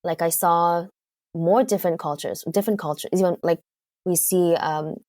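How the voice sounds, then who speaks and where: A woman with a Canadian accent, a guest in a casual interview. She speaks in a lowish voice, pausing with fillers as she thinks aloud. The recording is dry and close. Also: fairly even intonation, a little mellow, no echo. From United Kingdom. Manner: thoughtful, hesitant, frequent fillers.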